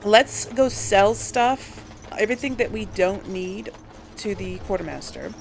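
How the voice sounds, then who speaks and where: A woman's voice: medium pitch, unhurried, creaky, a little hiss or hum. A woman giving casual gameplay commentary as a host, hesitantly thinking aloud. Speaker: host of a game role-play series.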